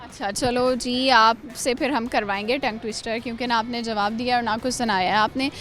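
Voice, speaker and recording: High-voiced young woman teasing interviewees. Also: fairly even intonation, fairly bright tone. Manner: teasing, quick follow-up questions. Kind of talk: playful street interview. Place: college campus.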